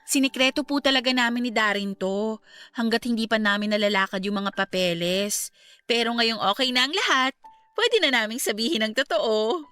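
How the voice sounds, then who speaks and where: A woman's voice: on the high side, normal speed, sharp tone, clear, resonant tone, no room sound, faint music behind. A woman playing a cheerful, caring young niece. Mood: hopeful. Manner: polite, reassuring, uses po. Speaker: niece about to work abroad. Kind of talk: Tagalog radio drama acting.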